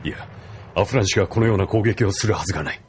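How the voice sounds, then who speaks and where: An actor playing a grave, commanding older military colonel in an anime audio drama, measured and stern. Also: male voice, sits low, darkish tone, slight background noise, natural voice.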